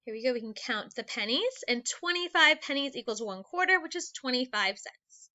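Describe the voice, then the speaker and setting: A woman's voice: high-pitched, expressive, normal speed, bright, forceful tone, muffled recording. A woman teacher reading aloud a lesson for young children in an instructional manner, explaining things step by step. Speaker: elementary school teacher.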